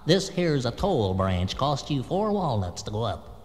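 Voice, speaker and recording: A man's voice: mid-range voice, very animated, a little slow, a little mellow, long reverb tail. A man voicing a pushy little male squirrel who demands a toll, chattering and clipped. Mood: demanding.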